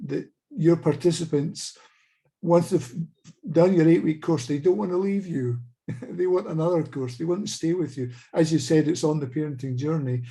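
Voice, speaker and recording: A middle-aged Scottish man speaking on a video call, measured and thoughtful, with frequent small restarts, asking a question in a webinar discussion. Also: fairly high pitch, gravelly, mellow. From Scotland.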